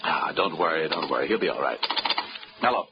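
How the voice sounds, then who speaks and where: A man's voice: very deep voice, animated, fairly quick, heavy background noise. A man playing a breezy, wisecracking insurance investigator in a 1940s radio drama. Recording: dull old radio recording with music.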